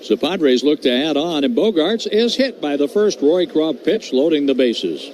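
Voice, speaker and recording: A man doing radio play-by-play for a baseball game, reading stats and calls in a steady, measured way. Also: a little high. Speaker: play-by-play announcer. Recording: noisy broadcast audio.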